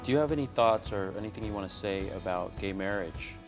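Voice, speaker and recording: A man's voice: lowish voice, fairly quick, soft background music. A man hosting an interview, asking the guest friendly, plain questions. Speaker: interviewer and show host. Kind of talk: interview questions and show intro.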